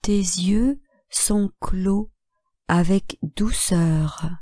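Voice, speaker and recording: Very slow, mellow woman guiding relaxation. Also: medium pitch, no room sound, studio quality. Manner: calm, measured, instructing in short phrases with long pauses. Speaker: yoga nidra instructor. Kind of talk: guided relaxation meditation.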